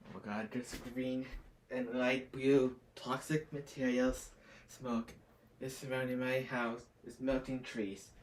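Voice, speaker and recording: A man playing a frightened resident in an amateur disaster drama, speaking haltingly and repeating words. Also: mid-range voice, little pitch movement, a little hiss or hum, close to an everyday voice. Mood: scared. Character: frightened resident watching toxic smoke surround his house. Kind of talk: amateur disaster scenario acting.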